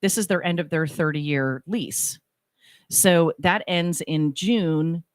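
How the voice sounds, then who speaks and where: Low-voiced woman telling a rambling story. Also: slow pace, no echo, clean studio sound. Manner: rambling, self-interrupting storyteller. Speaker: radio show co-host. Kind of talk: casual chat. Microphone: studio microphone.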